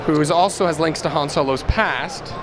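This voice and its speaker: A man with a high voice explains a production in a casual interview. He pauses and restarts a little, and clear convention noise sits behind him. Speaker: radio play creator and director. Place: live convention event, microphone.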